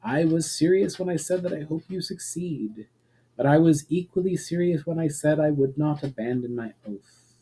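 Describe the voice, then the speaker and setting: A man voicing a calm, regretful elf woman with a formal, measured way of speaking in a fantasy story reading. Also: a little high, husky, low-quality recording. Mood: sad, earnest.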